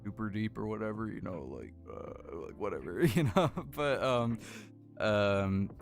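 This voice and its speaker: Casting: American male streamer, low voice, laid-back rambling chat with viewers, close condenser mic, music underneath. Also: sing-song, measured pace, gravelly. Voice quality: deep voice. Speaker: livestream host building model kits. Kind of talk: casual chat with live viewers. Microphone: close desk condenser microphone.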